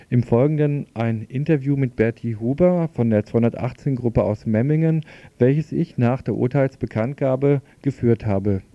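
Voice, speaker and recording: A man's voice: medium pitch, dry recording. A man presenting a radio news report as host and interviewer, on a slightly dull recording.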